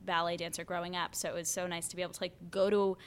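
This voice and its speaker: Chatty young American woman, low voice. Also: very fast, slight background noise. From United States. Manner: chatty, frequent "like" and "you know" fillers, animated quoting of others. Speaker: actor and singer, podcast guest. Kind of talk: casual conversational interview.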